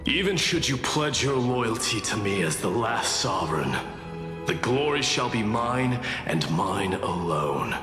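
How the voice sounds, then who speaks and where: Game casting: proud lone king, lowish and imperious, very measured, over clear music. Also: big pitch swings. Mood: solemn, proud. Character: proud, solitary male last sovereign, solemn and imperious. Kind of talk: scripted game voice acting. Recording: cavernous echoing reverb, music behind.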